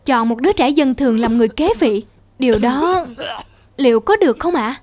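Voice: high voice, animated, a little fast, no room sound. An actor voicing a cautious, deferential royal courtier in a cartoon fairy tale, respectful and formal. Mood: doubtful.

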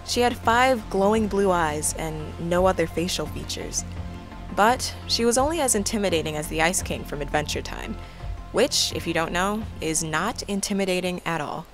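A woman's voice: on the low side, lively intonation, moderate pace, over music. A woman playing an awkward, sarcastic girl in an audio drama, talking in a casual, wry way. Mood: wry narration. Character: awkward, sarcastic girl, reluctant dream apprentice. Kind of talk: audio drama acting and narration.

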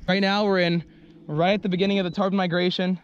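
A young man hosting a fishing vlog from a small boat, giving excited commentary and shouting as he fights a fish. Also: high voice, fast, lo-fi sound. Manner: hyped, shouting during the fight. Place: small boat on open water outdoors. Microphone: action camera on boat. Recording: loud wind and water noise.

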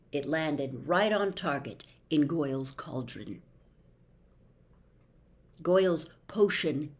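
A woman in her sixties reading a children's novel aloud with theatrical, expressive, deliberate phrasing, recorded at home. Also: mid-range voice. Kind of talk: dramatic audiobook-style narration of a children's novel. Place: small bedroom at home.

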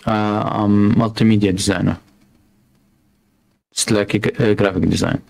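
A man from Iraq chatting casually with a stranger, relaxed with short replies. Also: on the low side, moderate pace, creaky. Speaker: stranger on video chat. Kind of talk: casual chat.

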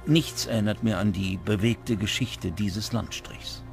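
A man's voice: lowish voice, a little slow, creaky. A man reading scripted documentary narration as narrator. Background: music under the voice.

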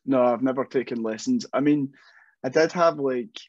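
A man's voice: mid-range voice, normal speed, a little mellow, no echo. A young man speaks from a room at home over a video call, talking loosely with lots of restarts and shrugging asides. The audio is dry and somewhat muffled, with a mid-range voice. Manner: rambling, self-deprecating, many restarts. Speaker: metal band vocalist. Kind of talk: casual interview chat.

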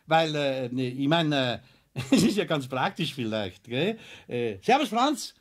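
A man's voice: mid-range voice, sing-song, unhurried. An actor playing a fussy, awkward man with a Bavarian accent in a children's radio play, hesitant and evasive. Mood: awkwardly evasive. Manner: hesitant, evasive, often ending in a questioning tag. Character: fussy, awkwardly evasive male friend of craftsman.

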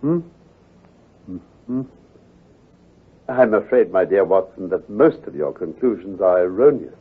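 A husky british detective, confident and a bit condescending, old muffled radio show recording. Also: male voice, sits low, lively intonation, unhurried, exaggerated voice. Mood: dryly superior. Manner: precise, incisive, patronizing. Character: brisk, keen-eyed gentleman detective, confident and teasing. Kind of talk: old-time radio drama acting.

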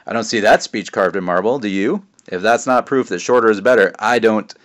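A man voicing a loud, joking father who loves embarrassing his son, booming and theatrical. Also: a little fast, natural voice. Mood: enthusiastic. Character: loud, joking, embarrassing middle-aged dad.

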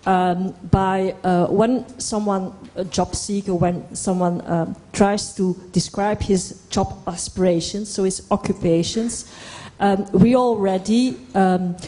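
A middle-aged Flemish woman explains her work on a conference panel, halting and repeating words for emphasis, into a handheld microphone. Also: medium pitch, steady tone, dark tone. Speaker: panelist from an employment service. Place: indoor conference hall stage.

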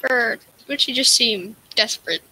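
A woman's voice: on the high side, normal speed, pushed and bright, husky, rough recording. A teenage girl reading a picture book and its dialogue aloud over a video call.

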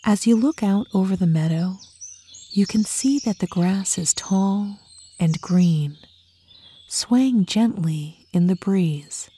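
Soft breathy woman doing guided meditation, really slow and calm, birds chirping in the background. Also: mid-range voice, a little hiss or hum. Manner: calm, soothing, unhurried with long pauses. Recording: birdsong and nature ambience behind. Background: birdsong, outdoor nature sounds.

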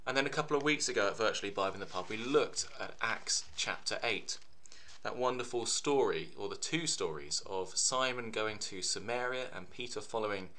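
A man, an earnest vicar giving a steady sermon from his living room to a webcam. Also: on the low side, moderate pace. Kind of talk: reflective sermon to camera. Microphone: webcam at desk.

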